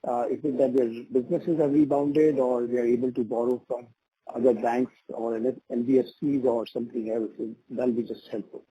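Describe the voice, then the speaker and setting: A man's voice: medium pitch, level tone, brisk, warm tone, slightly rough. A man asking a question as an investor on an earnings conference call. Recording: muffled, faintly noisy phone line.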